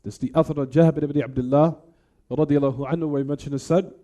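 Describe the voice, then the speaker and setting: A man's voice: on the high side, steady tone, warm tone. A man giving an Islamic lesson, explaining a text line by line in a didactic way with rhetorical questions. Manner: switches fluently into rapid Arabic recitation. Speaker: Islamic lecturer.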